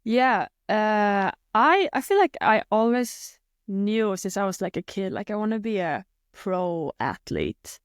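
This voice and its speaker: Young Swedish woman, guest in a casual chat, smooth and clear tone, dry close sound, halting with lots of "uh". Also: medium pitch, sing-song, no room sound. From Sweden. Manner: hesitant, many fillers and restarts. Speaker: guest athlete.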